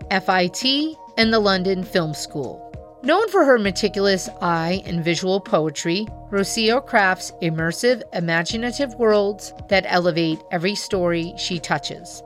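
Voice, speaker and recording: A woman hosting a podcast, reading a formal scripted introduction and asking interview questions in a measured way. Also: mid-range voice. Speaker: podcast host.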